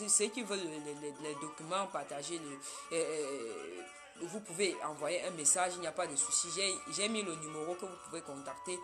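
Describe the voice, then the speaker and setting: A woman from Cameroon, a Yemba speaker, hosting a casual live stream and talking straight to her viewers. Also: sits low.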